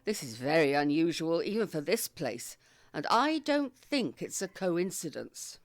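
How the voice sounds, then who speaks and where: An actress playing a shrewd, brisk old care home resident in an audio drama, matter-of-fact and wry. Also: female voice, low voice, very animated, full character voice. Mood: concerned. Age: older. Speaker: actor.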